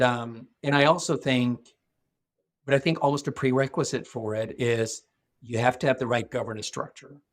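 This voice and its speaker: A middle-aged man from Norway, a guest speaking thoughtfully in a podcast interview, often restarting his sentences. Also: mid-range voice. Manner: thoughtful, measured, with frequent restarts. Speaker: guest, security executive.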